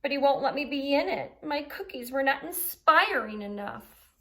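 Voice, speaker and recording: Woman reading as a high-voiced, sensitive storybook mermaid. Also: animated, normal speed, fairly bright tone, slight background noise. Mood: hurt, sniffy. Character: sensitive female mermaid baker, dramatic, kind-hearted.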